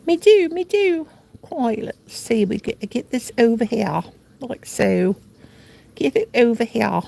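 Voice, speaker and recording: A woman's voice: a little high, lively intonation, slow, vocal fry, mellow. An older American woman hosting a casual crochet livestream, playful and silly, laughing and talking to herself as she works. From United States. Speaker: livestream host.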